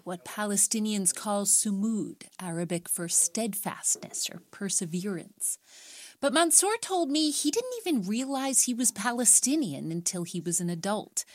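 An American woman narrates a radio feature as a reporter. Her delivery is scripted storytelling, in a mid-pitched voice. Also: no room sound.

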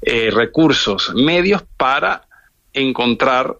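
A man with a Venezuelan accent giving an unscripted live news report, halting and repeating words as he searches for phrasing. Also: mid-range voice, expressive, bright, forceful tone, dry recording. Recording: dull, narrow-band remote audio link.

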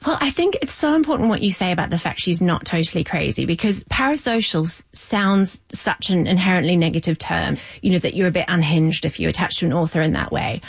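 A woman in her forties from New Zealand talks about her writing with eager, chatty warmth. Her voice sits a little low. The recording is close and dry. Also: animated, fairly quick, gravelly, few pauses, slightly rough, dry recording. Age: 40s. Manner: warm, enthusiastic, chatty with many fillers. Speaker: novelist guest. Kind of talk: interview answers.

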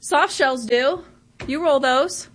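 A woman meteorologist reading the weather forecast and joining in casual studio banter in a bright, upbeat way. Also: sits high, slow pace.

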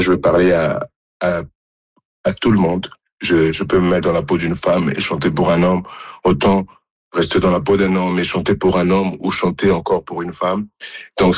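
Deep-voiced Congolese-born man, hesitant. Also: slightly rough, dry recording. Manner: hesitant, many fillers and restarts. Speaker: musician and DJ guest. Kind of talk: radio interview answers.

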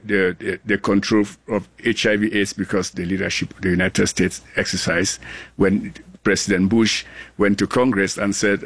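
A man's voice: lowish voice, no room sound. A man in his sixties from Cameroon, a global health scientist, speaking earnestly in an interview with many restarts. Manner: earnest, halting with frequent restarts. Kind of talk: conversational interview.

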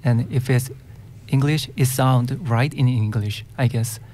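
A male singer-songwriter with a Korean accent, a native Korean speaker, talks in an interview at a very slow pace. His pitch is average for a man. He hesitates and searches for words as he answers casually. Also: steady tone, darkish tone, a little hiss or hum. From South Korea. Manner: hesitant, searching for words in non-fluent English.

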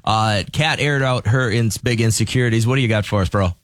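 A man's voice: medium pitch, a little fast, no echo. A man co-hosting a morning radio show, chatting casually and teasing his co-host. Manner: teasing, dry.

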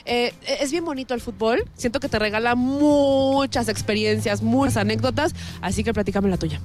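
A woman's voice: on the high side, normal speed. A woman with a Mexican accent hosting casual on-location interviews with fans in a playful, teasing, chatty way. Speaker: radio promotion host interviewing football fans.

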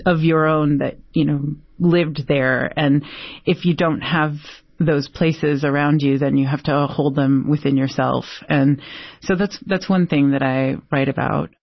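A Brazilian-born woman poet answering interview questions thoughtfully, with many pauses and fillers. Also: deep voice, fairly even intonation, no room sound. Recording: dull, dry lo-fi sound.

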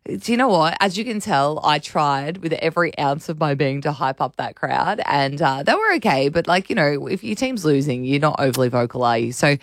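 A hoarse young Australian woman chats on breakfast radio, rambling and laughing through the talk. Her raspy voice sits close and dry in the studio. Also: deep voice, animated, a little fast, hardly any pauses, dry recording. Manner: chatty, rambling, laughs often. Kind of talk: casual breakfast radio banter.

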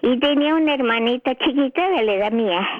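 A woman's voice: high-pitched, expressive. Hesitant older woman calling in by phone. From Italy. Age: 70s. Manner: hesitant, emotional, halting with many restarts. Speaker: caller. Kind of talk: phone-in conversation on radio. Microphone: telephone. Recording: dull phone-line sound.

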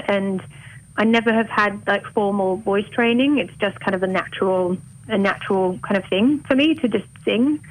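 A woman with a mild north Welsh accent softened toward English, a singer talking openly and chattily as a radio interview guest. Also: mid-range voice, poor audio. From Wales. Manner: chatty and open, laughs easily.

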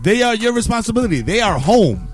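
This is a middle-aged man with a New York accent, hosting a morning radio segment. He talks in a high, lively register, full of slang, hype and easy laughter. Faint music sits underneath the voice. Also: quick pace, husky. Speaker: radio show host. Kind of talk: morning radio show segment, casual talk.

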